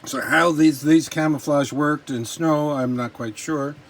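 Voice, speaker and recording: A man's voice: on the high side, fairly even intonation, measured pace. A man giving a casual, unscripted show-and-tell as a collector, talking in an informal, rambling and enthusiastic way. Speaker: collector presenting models and memorabilia. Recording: clear background noise.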